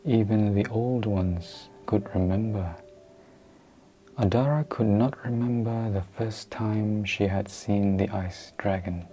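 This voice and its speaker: A mellow, soft-spoken reader delivers a storybook in ASMR style. The pace is very slow and deliberate, with frequent pauses and phrases that fade toward a whisper. Faint music plays behind the voice. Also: medium pitch, low-quality recording. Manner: soft-spoken, hushed, breaking into near-whispers. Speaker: book reader.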